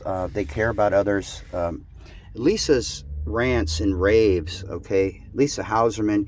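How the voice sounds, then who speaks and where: A man speaking as an online host in a halting, emphatic, theatrical way, in the manner of someone sharing and reading satire aloud. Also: lowish voice, darkish tone. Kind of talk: rambling talk and dramatic sarcastic reading. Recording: noticeable background noise.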